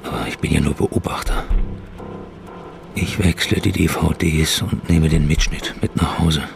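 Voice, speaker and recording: Brief: male inner monologue. Extremely deep, gravelly, brooding. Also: fairly even intonation, normal speed, over music. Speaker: protagonist's inner voice. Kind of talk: inner monologue in a radio play.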